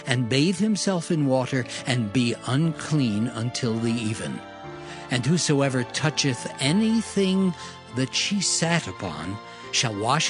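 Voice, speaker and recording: A man narrating scripture in a solemn, measured way, as in a dramatized audio Bible. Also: medium pitch. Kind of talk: dramatized King James Bible reading. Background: music.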